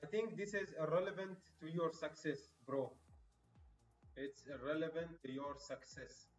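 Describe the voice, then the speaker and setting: A man from Egypt talks through a live stream in a casual, chatty way, calling viewers bro and my friend. His voice sits a bit high and reaches the mic from a distance in a roomy space. Faint music plays underneath a slightly dull recording. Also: fairly even intonation, normal speed.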